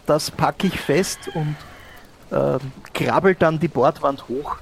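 A man speaking as a guest player, thoughtful and halting as he explains. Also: a little high, vocal fry, a little hiss or hum.

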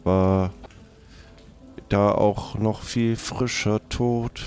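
A man playing a formal, businesslike undertaker with a deliberate, dry manner in an improvised role-play comedy. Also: lowish voice, fairly even intonation, darkish tone, faint music behind.

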